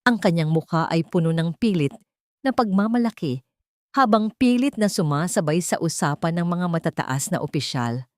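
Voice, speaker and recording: A woman's voice: lowish voice, animated, moderate pace, a little mellow, no room sound, clean studio sound. A woman narrator reading a scripted audio drama in a dramatic storytelling style.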